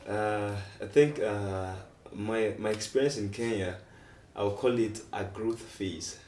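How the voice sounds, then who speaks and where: A man's voice: on the low side, slow, a little mellow. A young man from Nigeria with a Nigerian English accent, a guest comedian who talks casually and often repeats himself as he gathers his thoughts. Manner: repeats words and restarts often while thinking aloud. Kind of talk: casual interview. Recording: distant mic in an echoey room, faint noise.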